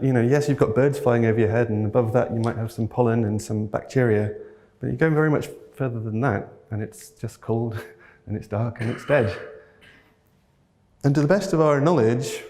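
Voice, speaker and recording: A man from North Wales giving a thoughtful keynote talk, earnest and a little hesitant as he searches for words. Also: medium pitch. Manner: earnest and gently enthusiastic. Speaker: keynote speaker. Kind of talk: reflective conference talk. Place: talk venue, audience present.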